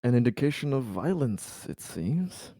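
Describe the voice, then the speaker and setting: A man playing a tabletop game, talking through the situation in deliberate, rather formal wording. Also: mid-range voice, very animated, dark tone, no room sound, studio quality. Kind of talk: casual in-game discussion.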